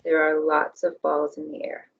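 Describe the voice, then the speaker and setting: A woman whose voice is going hoarse, talking candidly and a bit hesitantly as a parent, then repeating tapping phrases after a leader. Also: low voice, completely flat delivery, moderate pace, distant mic, narrow, tinny audio. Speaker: parent sharing her experience, tapping echo.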